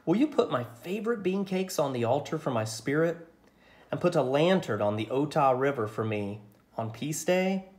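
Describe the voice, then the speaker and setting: A man voicing a sick young girl in a picture book, soft, dreamy and wistful. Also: a little high, animated, moderate pace, close to an everyday voice.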